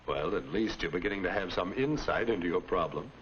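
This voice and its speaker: A middle-aged man playing a calm, fatherly high school principal in a 1950s instructional film, measured and instructive. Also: natural voice. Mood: patient, approving. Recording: muffled, noisy 1950s film soundtrack.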